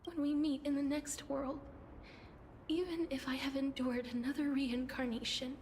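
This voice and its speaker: A woman voicing a gentle, devoted, solemn young woman in a video game. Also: sits high, fairly even intonation, normal speed, slight background noise, close to an everyday voice. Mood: earnest vow. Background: game music.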